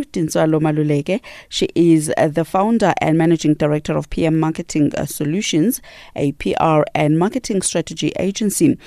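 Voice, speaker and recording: Woman, radio presenter, upbeat delivery, low warm voice, dry close sound. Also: dry recording. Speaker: radio host and newsreader. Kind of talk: news reading and radio interview.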